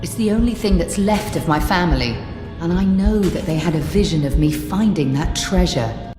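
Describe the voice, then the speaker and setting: A woman's voice: fairly low pitch, animated, moderate pace, lightly acted. A woman playing a confident, wry treasure seeker in a video game, with a teasing, sardonic way of talking. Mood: eager, intent. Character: wry, confident female treasure-seeking adventurer. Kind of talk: video game character acting. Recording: reverberant hall with music behind.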